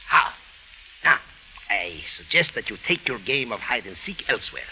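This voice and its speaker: A pompous, disdainful police sergeant in a 1940s radio drama. He speaks formally in a piercingly bright, lively voice. The old recording is dull with faint noise. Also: on the low side, normal speed, exaggerated voice. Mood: haughty. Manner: pompous, stiffly formal, precise diction.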